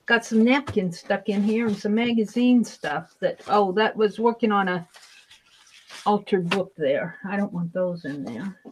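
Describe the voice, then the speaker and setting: A woman chatting loudly and casually about crafts on a video call live stream. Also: medium pitch, a little slow, slight background noise, poor audio. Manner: loud, chatty voice.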